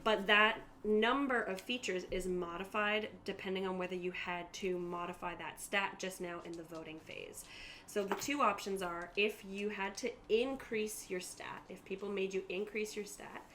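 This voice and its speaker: A woman's voice: medium pitch, fairly even intonation, moderate pace, a bit bright, a little hiss or hum. A woman game master explaining tabletop rules to players in an informal way and reading out lists of examples.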